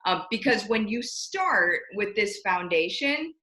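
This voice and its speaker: Peppy woman host, bright and forceful voice, echoey room, casual interview chat. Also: mid-range voice, sing-song, slow pace. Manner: peppy, addresses viewers as "you guys".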